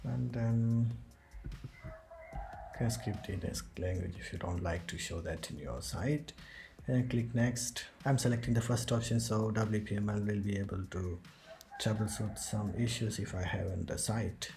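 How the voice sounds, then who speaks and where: A man with a fairly low voice explains a software setup one step at a time, narrating his clicks as he goes. Music plays clearly underneath him throughout. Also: measured pace. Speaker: tutorial host. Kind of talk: screen-recorded software tutorial.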